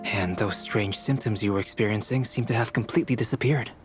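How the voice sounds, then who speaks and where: A man voicing a calm, scholarly young male alchemist with a measured, polite, thoughtful manner in a video game. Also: lowish voice, lightly acted. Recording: game audio with faint music behind.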